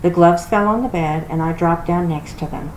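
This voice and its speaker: A woman giving an expressive storybook read-aloud, like a children's library reader. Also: lowish voice, moderate pace. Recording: roomy echo with clear background noise.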